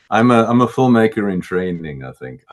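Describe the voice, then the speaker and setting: A middle-aged man with a baritone voice, a musician answering interview questions in a casual, halting way, often repeating words as he thinks.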